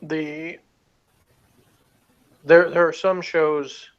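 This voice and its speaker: A man giving casual review opinions in a rambling, halting way, full of filler words. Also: on the high side, flat delivery, muffled recording. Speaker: review show panelist.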